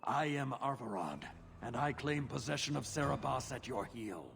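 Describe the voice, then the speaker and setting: An actor playing a stern, zealous warrior-enforcer in a fantasy video game, speaking formally and with command. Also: male voice, medium pitch, lively intonation, normal speed, gravelly. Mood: cold, commanding. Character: stern, zealous adult male warrior-enforcer of a rigid creed.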